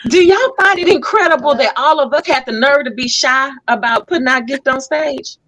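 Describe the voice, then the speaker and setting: High-voiced woman hosting a chatty talk show. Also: moderate pace, a bit bright, a little hiss or hum. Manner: playful, teasing, chatty. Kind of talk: casual group interview.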